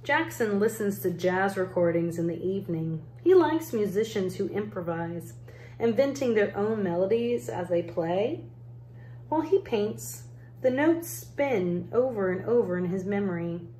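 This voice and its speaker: A woman reading a children's picture book aloud as an educator, in measured, deliberate phrases with pauses. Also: medium pitch, a little mellow. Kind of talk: storybook read-aloud narration. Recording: roomy echo, faint background noise.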